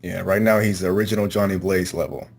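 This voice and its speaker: Man, low-pitched voice, hesitant, halting short answers, casual interview guest. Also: steady tone, gravelly. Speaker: interview guest, amateur voice actor.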